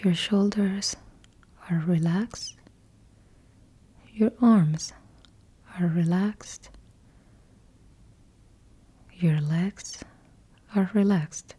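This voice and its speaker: A woman whispering slow, soothing guided yoga nidra instructions into a close mic. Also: on the low side, dark tone, husky. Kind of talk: guided meditation.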